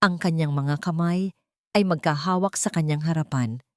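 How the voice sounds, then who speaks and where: A woman narrating a scripted dramatic story in a measured, theatrical way. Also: low voice, clean tone, no echo, clean studio sound.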